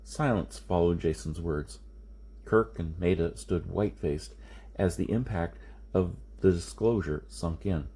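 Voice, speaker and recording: A man with a husky voice reading a science fiction novel aloud as an audiobook narrator. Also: low voice, measured pace, dark tone, slight background noise. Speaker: amateur audiobook reader.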